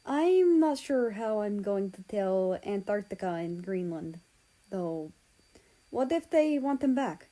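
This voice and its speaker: A woman voicing a soft-hearted, hesitant man who loves his dog, in a story reading. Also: medium pitch, expressive, slow pace. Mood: worried.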